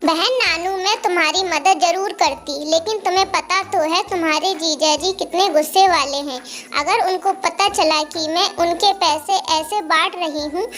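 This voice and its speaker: A woman's voice: very high-pitched, normal speed, a bit bright. A woman voicing an apologetic but unhelpful sister bird in a cartoon, chatty and full of excuses. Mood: evasive, apologetic. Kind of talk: cartoon character acting.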